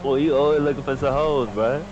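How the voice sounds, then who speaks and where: A man's voice: medium pitch, fairly quick, noisy background. American man on dull game voice chat. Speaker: fellow online player. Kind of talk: casual slangy voice chat.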